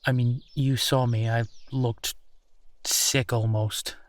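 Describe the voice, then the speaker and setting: A man playing a friendly, easygoing werewolf boyfriend in human form, talking in a musing, conversational way with hesitant pauses in an intimate roleplay audio. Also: mid-range voice, flat delivery, close to an everyday voice. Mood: reflective, candid.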